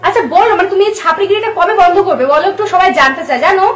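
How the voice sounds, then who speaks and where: Female prank caller, quick and very high voice, teasing, stop-start delivery, echoey room. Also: animated. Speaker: prank caller, channel host. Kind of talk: casual teasing prank phone call. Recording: echoey room, faint music.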